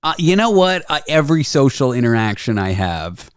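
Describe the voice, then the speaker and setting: Thirties male podcast host, teasing and deadpan, lively swooping pitch, dry close mic. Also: moderate pace, clean tone, dry recording. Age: 30s. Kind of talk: casual comedic chat.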